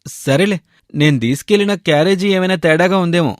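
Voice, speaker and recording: A man's voice: high-pitched, fairly quick, no room sound, studio quality, natural voice. A man playing a food-loving young husband and shopkeeper, grumbling yet tactful, in a cartoon moral story. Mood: tactful, uneasy. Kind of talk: animated moral story dialogue.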